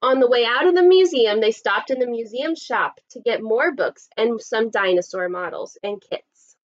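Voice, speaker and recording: A woman's voice: fairly high pitch, expressive, normal speed, dull audio. A woman in her thirties, a kindergarten teacher, reading a storybook expressively to young children. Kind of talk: read-aloud storybook narration for young children. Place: small indoor room set up as a classroom.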